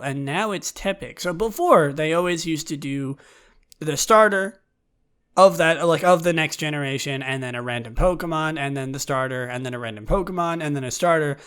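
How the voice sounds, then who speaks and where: A man hosting a fan podcast with casual news commentary, talking in a halting, rambling way with repeated words. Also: a little high, a bit bright, clean tone. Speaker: podcast host.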